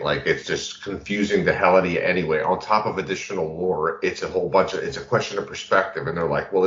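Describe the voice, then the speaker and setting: Low-voiced man chatting in a roomy space. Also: fairly bright tone, lo-fi sound. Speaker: podcast co-host. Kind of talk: casual chat about tabletop games.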